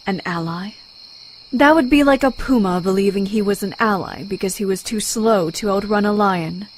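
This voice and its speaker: A woman playing a proud, blunt warrior with a dry, haughty, formal manner in an animated comic adventure. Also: mid-range voice, moderate pace, slight background noise, natural voice. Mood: cool disdain.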